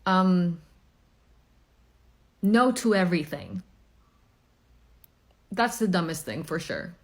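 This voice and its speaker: A woman from Chile telling a story to camera in a deliberate, deadpan way. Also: on the low side.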